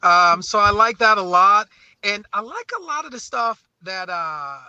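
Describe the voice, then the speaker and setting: A man with a high, very bright and sharp voice gives a casual interview as a music artist. He is effusive and halting, often doubling back on his words as he talks. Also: expressive, smooth, no room sound, lo-fi sound. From St. Croix and New Orleans.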